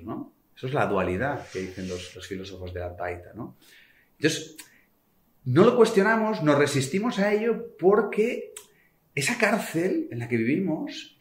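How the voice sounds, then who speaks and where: A man from Spain, speaking passionately and colloquially as a guest author in a podcast conversation, with sudden swings in loudness. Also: medium pitch. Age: 40s. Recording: echoey room.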